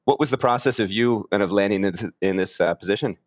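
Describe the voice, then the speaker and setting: Man, podcast host, low voice, casual with lots of fillers, dull lo-fi dry sound. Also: quick pace, dry recording. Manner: casual, many fillers like uh and you know. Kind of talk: interview podcast.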